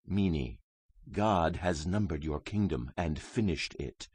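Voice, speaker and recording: Fearless prophet, stern and declamatory, sweeping intonation, husky, audio Bible drama. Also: male voice, low voice, expressive, slow, darkish tone, no echo, full character voice. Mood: grave pronouncement. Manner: declamatory, grave, emphatic. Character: Daniel, solemn fearless male prophet rebuking a king. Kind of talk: dramatized scripture reading.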